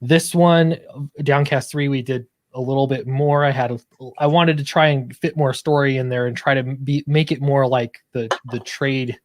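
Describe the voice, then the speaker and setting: A man's voice: mid-range voice, steady tone, normal speed, no echo. A man chatting casually as an interview guest, upbeat but a little hesitant. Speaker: guest comic book creator.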